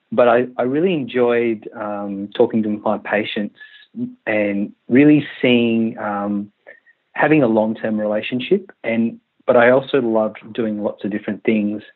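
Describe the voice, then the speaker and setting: A man in his thirties with an Australian accent, a country doctor answering interview questions in a hesitant, halting way with many fillers. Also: lowish voice. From Malaysia, raised in Western Australia. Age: 30s. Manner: hesitant, many restarts and fillers, laughs easily. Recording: tinny phone line.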